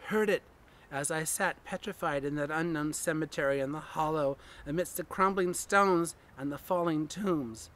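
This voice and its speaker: A man's voice: fairly high pitch, a bit bright, a little hiss or hum. A man giving a theatrical horror reading as a frantic, haunted man recounting a graveyard ordeal, drawn out and intense. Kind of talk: dramatic reading of a horror story.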